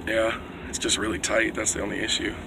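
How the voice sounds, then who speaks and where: A young man, vlogging loosely and profanely from inside a moving SUV, his voice worn and going hoarse. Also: fairly low pitch, level tone, a little fast, heavy background noise. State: losing his voice. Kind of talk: casual car vlog. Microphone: phone held at arm's length.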